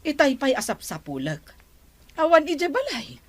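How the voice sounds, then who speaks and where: Nagging village wife, suspicious, swooping high voice, Filipino Ilocano accent, radio drama, faint music. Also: female voice, huge pitch swings, normal speed.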